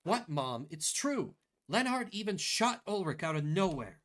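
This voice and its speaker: A man's voice: sits high, sing-song, unhurried, fairly bright tone, slight background noise, exaggerated voice. A man voicing a bitter, foul-mouthed young peasant woman from a video game, blunt and heated. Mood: angry, accusing.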